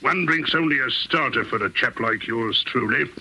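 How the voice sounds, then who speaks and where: A man's voice: mid-range voice, normal speed, bright, forceful tone, slight background noise, rough recording. An actor playing a coarse, menacing middle-aged man, blunt and jeering, in an old radio drama. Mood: mocking. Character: coarse, menacing, sneering male blackmailer, old crony.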